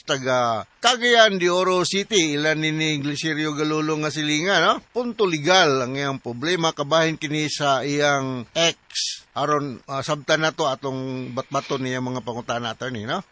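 A man's voice: a little high, sharp tone, no room sound. A man with a Cebuano Filipino accent hosting a radio advice show, chatting casually and reading out listener questions.

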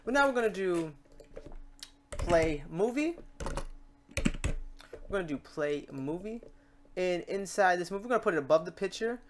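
A man's voice: high voice, animated, moderate pace, pauses often, a little hiss or hum. Casual man talking through a tutorial. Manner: informal, chatty, talks through steps aloud. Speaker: tutorial host. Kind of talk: casual software tutorial narration.

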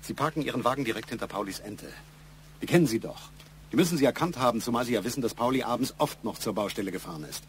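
An actor playing an insistent investigator in a German radio crime drama, probing and emphatic. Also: male voice, mid-range voice, lively intonation, fast, a little hiss or hum, natural voice. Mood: accusing. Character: insistent male investigator pressing a witness hard.

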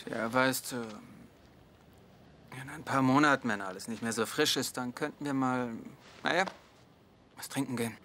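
Sitcom dub: a hesitant, lovestruck young man, mid-pitched, over clear background noise. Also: normal speed, pauses often, pushed and bright. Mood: sheepish. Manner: earnest, self-mocking, hesitant. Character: hopeful awkward young man in love. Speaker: dubbed sitcom lead.

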